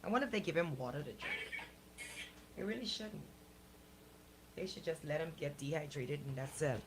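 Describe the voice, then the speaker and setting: A Bahamian woman with a slightly low voice co-hosts radio. She talks in a blunt, colloquial way, whether chatting or reading out news, and there is clear noise on the recording. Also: normal speed. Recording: clearly noisy radio show audio.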